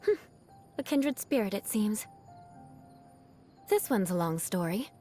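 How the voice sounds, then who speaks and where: An actress voicing a proud young noblewoman knight in a video game, with a haughty, formal, theatrical manner and a vengeful streak over a soft heart. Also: medium pitch, animated, slow pace. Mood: fond, measured. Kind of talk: video game character voice lines. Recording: music behind the voice. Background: background music.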